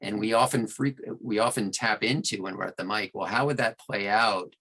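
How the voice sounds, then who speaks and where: Male interview guest, lower register, clear forward brightness, deliberate explainer talking shop. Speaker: audiobook narrator and producer, podcast guest. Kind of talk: conversational interview answers.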